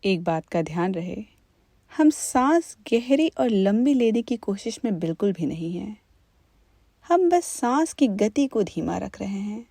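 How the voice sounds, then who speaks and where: A woman leading a guided meditation as an instructor, in a calm, gentle, unhurried manner. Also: mid-range voice, gravelly, no echo.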